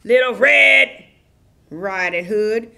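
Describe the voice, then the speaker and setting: A woman's voice: fairly high pitch, very slow, creaky, pushed and bright, heavily acted. A woman voicing a silly, muddled, cheerful puppet wolf who tells children stories in a scatterbrained, singsong way. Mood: goofy, cheerful. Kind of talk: children's puppet theater storytelling.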